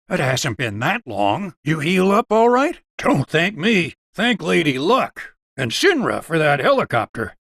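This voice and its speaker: Folksy Southern doctor with lively pitch. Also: male voice, no echo, clean studio sound, exaggerated voice. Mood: friendly, wry. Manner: folksy, easygoing drawl with wry humour. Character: folksy, wry small-town doctor and researcher. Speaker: video game voice actor. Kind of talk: character dialogue in a video game.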